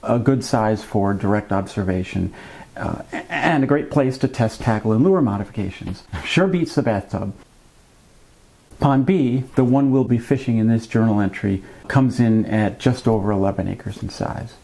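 A man in his fifties hosting a fishing vlog, explaining things to camera in a conversational, measured way with wry asides. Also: on the low side, gravelly, warm tone, slight background noise, poor audio. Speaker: fishing channel host. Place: small indoor room at a desk with a computer.

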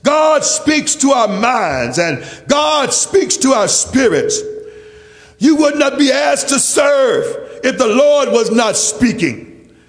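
A man's voice: very high voice, sing-song, takes it slowly. A man preaching an impassioned church sermon in a declamatory, emphatic way, with repeated rhetorical phrases. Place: large church. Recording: reverberant hall, faint music.

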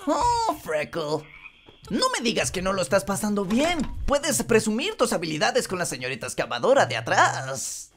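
Woman voicing a flamboyant, wildly expressive cartoon tomcat, Latin American accent. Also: mid-range voice, moderate pace, very noisy. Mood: teasing, mischievous. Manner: grandiose, melodramatic, theatrical. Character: flamboyant, mischievous, theatrical young male cat bootlegger. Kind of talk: animated cartoon fan dub acting.

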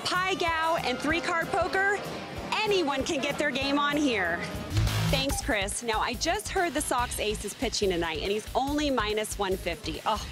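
A bubbly, chatty woman in her thirties hosts upbeat segments with a playful bounce. Her voice sits high and moves a lot. Music plays clearly behind her. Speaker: TV host. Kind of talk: upbeat hosting and promo presenting.

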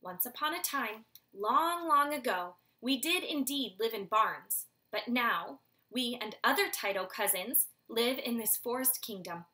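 Storybook father barn owl, ceremonial and proud, a bit raised in pitch, read by a woman. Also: lively intonation, a little slow, hardly any pauses, sharp tone. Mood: stately. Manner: stately, ceremonial.

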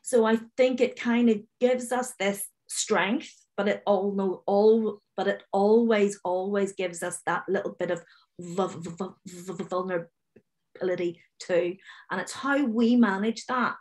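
Slow-talking Northern Irish woman who stammers. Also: mid-range voice, a little mellow. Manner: stammers openly with repeated syllables and blocks; confident, direct. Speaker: webinar panellist, finance manager. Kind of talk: video call panel discussion. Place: home room, indoors. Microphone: video call webcam.

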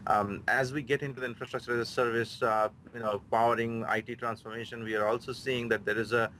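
A man, a chief architect, giving a halting interview answer with many restarts. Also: mid-range voice, flat delivery, pushed and bright, slight background noise, poor audio.

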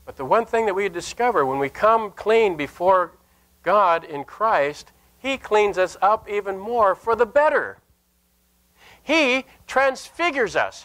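An older man, a Lutheran pastor, preaching a sermon in an earnest, emphatic manner with deliberate pauses and repeated phrases. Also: high-pitched, fairly bright tone. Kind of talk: sermon with scripture and anecdote. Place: indoor church sanctuary at a lectern.

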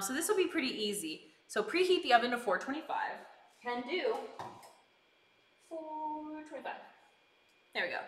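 A woman's voice: fairly high pitch, sharp tone. A bubbly, giggly woman chatting casually with live viewers as she hosts a stream, full of exclaimed greetings. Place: indoors in a kitchen. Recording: roomy, echoing indoor sound.

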